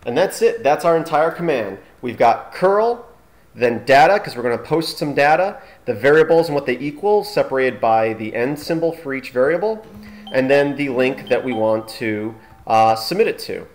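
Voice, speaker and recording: A man presents a screencast tutorial in an informal way. His voice sits at a medium pitch, and he talks haltingly, thinking aloud as he works through each step. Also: lively intonation. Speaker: tutorial host.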